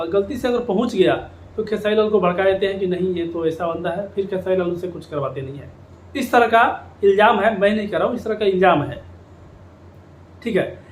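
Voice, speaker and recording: High-pitched man in an echoey room. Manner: repeats phrases for emphasis. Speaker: YouTube commentator on Bhojpuri music industry. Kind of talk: informal opinion commentary to viewers. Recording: echoey room with background noise.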